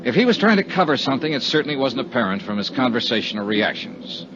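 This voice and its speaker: An actor playing a cool, persistent, polite insurance investigator in a 1950s radio detective drama. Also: male voice, mid-range voice, very animated, fast, music in the background. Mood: matter-of-fact narration. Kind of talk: 1950s radio detective acting and first-person narration. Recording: dull 1950s radio recording.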